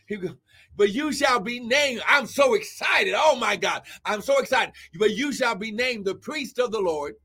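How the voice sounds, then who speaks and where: A man preaching a prophetic sermon who reads scripture and then riffs on it, breaking out in shouts of "glory" and "oh my God". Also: high voice.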